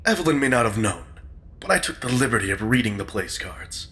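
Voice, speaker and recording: Audio drama: male actor as sharp-tongued gentleman guest, arch, animated, forward bright voice. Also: mid-range voice, fairly quick, lightly acted. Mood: arch, amused. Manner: wry, urbane, needling.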